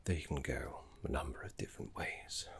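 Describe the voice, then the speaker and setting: A man voicing a gentle, erudite necromancer teacher in a fantasy ASMR roleplay, speaking in a breathy half-whisper with refined, reassuring deliberation. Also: extremely low pitch, expressive, normal speed, full character voice. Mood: wry, discreet.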